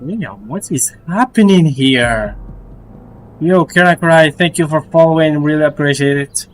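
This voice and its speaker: Slow-talking male streamer reacting to a game. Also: a little high. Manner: halting, repeats himself, slangy. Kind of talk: casual live game reaction commentary. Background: game music and sound.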